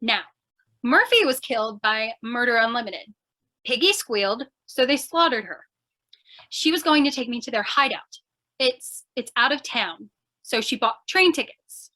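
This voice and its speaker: A woman's voice: a little high, lively intonation, measured pace, sharp tone. A woman playing a plucky, scatterbrained young newspaper reporter in an old-time radio comedy mystery, flustered and eager. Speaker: voice actor.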